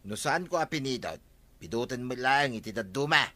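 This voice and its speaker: A man's voice: medium pitch, lively intonation, brisk, sharp tone, no room sound. A man with an Ilocano Filipino accent playing an aggrieved, scheming villager in a radio comedy drama, full of indignant complaint. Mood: indignant, wheedling.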